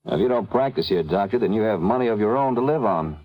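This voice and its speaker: An actor playing a curt, skeptical police detective partner in a vintage radio crime drama, impatient and doubting. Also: male voice, on the low side, animated, quick pace, narrow, tinny audio.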